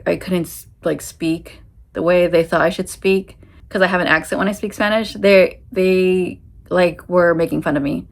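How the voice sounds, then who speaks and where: A woman in her thirties from the United States, answering viewer questions in a casual, rambling chat full of fillers and restarts. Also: fairly low pitch. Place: her home in Japan.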